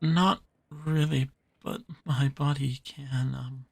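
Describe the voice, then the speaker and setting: A man voicing a shy, bumbling male jacklomire creature with vampire traits, who speaks in a halting, hesitant way. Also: medium pitch, fairly even intonation, vocal fry, dry recording. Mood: nervous, hesitant. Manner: halting, hesitant, many fillers. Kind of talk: audio roleplay drama.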